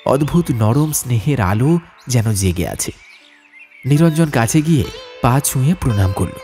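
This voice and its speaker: A man's voice: medium pitch, lively intonation, normal speed, warm tone. A man narrating a scripted ghost story as a dramatic audiobook. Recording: music bed behind narration.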